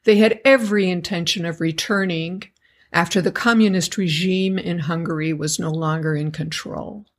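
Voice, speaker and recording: Measured woman guest, lowish voice. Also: fairly even intonation. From United States. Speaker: guest, educators association member. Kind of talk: interview answers.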